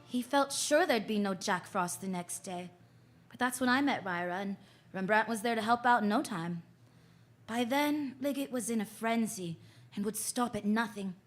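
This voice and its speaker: A woman's voice: medium pitch, normal speed, close to an everyday voice. A woman playing a wry, flirtatious private detective who narrates her case in a vintage radio drama. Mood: wry narration. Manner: wry, hard-boiled storytelling. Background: music.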